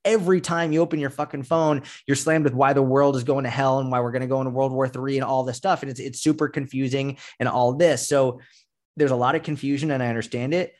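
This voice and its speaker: Emphatic, sweary man explaining things casually. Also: medium pitch. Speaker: fitness coach and content creator, interview guest. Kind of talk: casual podcast conversation.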